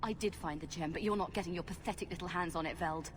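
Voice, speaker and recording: An actress playing a feisty, wounded young long-lost daughter in a fantasy video game. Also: female voice, on the low side, fast, long reverb tail, a little hiss or hum, close to an everyday voice. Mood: scornful. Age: young adult. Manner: defiant, earnest, sarcastic.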